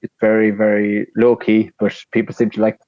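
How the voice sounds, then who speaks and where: A man with a flat Tipperary Irish accent, chatting casually as a podcast guest, rambling with lots of fillers and restarts. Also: on the low side, fairly quick, dry recording, muffled recording. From Ireland. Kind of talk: casual interview chat.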